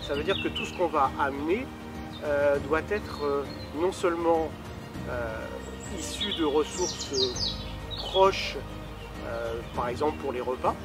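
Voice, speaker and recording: A man's voice: on the high side, takes it slowly, loud music behind the voice. A man, giving an informal testimonial as a volunteer event organiser, hesitantly searching for his words. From Paris, France. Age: 60s.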